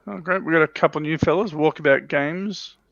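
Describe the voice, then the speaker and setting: A man's voice: on the high side, fairly quick, creaky, a bit bright, no echo. A man co-hosting a livestream in casual chat, on a slightly dull microphone. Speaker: livestream co-host.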